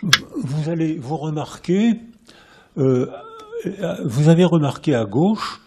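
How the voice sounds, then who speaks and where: A man with a French accent giving a public lecture in a hall, lively and repetitive, often restarting his phrases. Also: on the high side, takes it slowly, heavy background noise. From France. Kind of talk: public lecture to a live audience.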